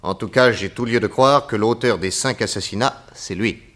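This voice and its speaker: Radio-drama detective, middle-aged, very low voice, measured, grave, shrewd. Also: male voice, fairly bright tone. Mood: explaining. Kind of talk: 1940s radio acting.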